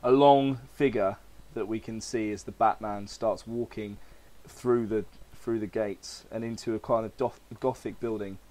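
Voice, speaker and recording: A man's voice: lowish voice, fairly even intonation, a little slow, dark tone. A man hosting a podcast, chatting casually and reading aloud in an informal, hesitant manner, his throat slightly crackly. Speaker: podcast host.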